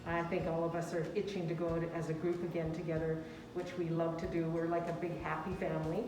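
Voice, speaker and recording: A woman's voice: sits low, little pitch movement, fast talker. A woman speaking as president of a photography club in an interview, friendly and plain-spoken. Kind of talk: casual interview. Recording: echoey room, far from mic, with music.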